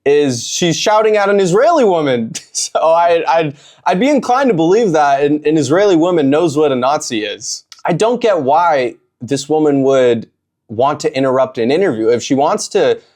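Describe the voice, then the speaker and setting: A man commenting on his own protest footage in a casual, opinionated way, often pausing with fillers and restarting his sentences. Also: on the high side, lively intonation, a little mellow. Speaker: video host reacting to own protest footage. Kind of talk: reaction commentary.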